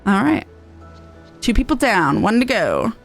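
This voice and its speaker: A woman game streamer reading game text aloud and commenting as she plays, in an expressive way. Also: a little high, a little slow, gravelly. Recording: game music behind the voice. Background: video game music.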